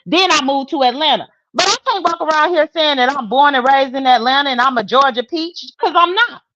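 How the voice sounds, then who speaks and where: Dull, lo-fi call audio carries a high-pitched woman with a Southern US accent. She is blunt and combative, arguing in casual, profane language like a heated panel guest. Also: fairly quick, noisy background. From Mississippi, United States. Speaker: panel guest on a live online show.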